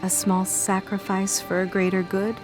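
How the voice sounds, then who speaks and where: Woman acting a shrewd adventurer gal, wry and needling, medium pitch, game cutscene with music. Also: flat delivery, moderate pace, close to an everyday voice. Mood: wry, skeptical.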